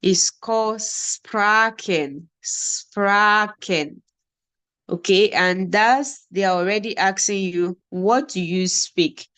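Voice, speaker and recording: A Nigerian woman teaches a beginner class at a very slow pace. She breaks example sentences into single words and says each one on its own. Her voice sits at a middle pitch for a woman. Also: fairly bright tone, clean tone. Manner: slow, repeats example phrases word by word. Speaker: German teacher.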